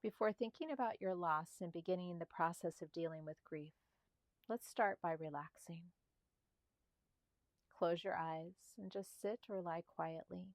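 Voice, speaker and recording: Soft, calm woman guiding meditation, low-pitched. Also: no room sound. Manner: slow, calm, soothing delivery with spaced short phrases. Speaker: meditation guide. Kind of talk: guided meditation narration.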